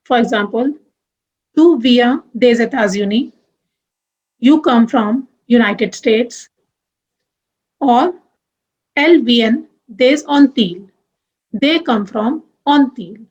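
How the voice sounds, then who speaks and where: A young woman teaching French grammar, moving deliberately through examples and spelling out letters one by one. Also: on the high side, lively intonation, a little mellow, lo-fi sound. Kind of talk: grammar lesson.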